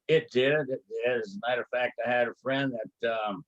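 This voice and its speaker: Older Texan man, unhurried interview storyteller, plenty of pauses, mid-range voice. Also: mid-range voice, lo-fi sound. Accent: Texas. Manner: slow, halting storytelling with many fillers. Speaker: martial arts grandmaster. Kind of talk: reminiscing interview.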